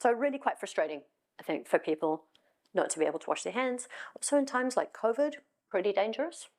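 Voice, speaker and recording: A woman's voice: mid-range voice, animated, fairly quick, a little mellow. A middle-aged woman from South Africa with a South African accent, giving a conversational talk on stage with wry asides and frequent ums. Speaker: conference speaker. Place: stage in a hall.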